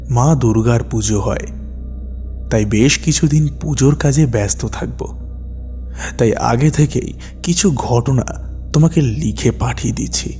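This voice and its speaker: Man, mellow dark voice, horror story narrator, deliberate phrasing, long reverb, soft music behind. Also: medium pitch. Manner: measured, deliberate, pausing between short phrases. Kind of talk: slow dramatic storytelling narration. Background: background music.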